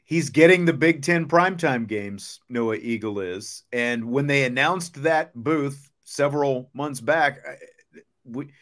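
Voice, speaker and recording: A man's voice: medium pitch, slow, fairly bright tone. A man hosting a sports show in a conversational way, chatting casually and putting questions to his co-host.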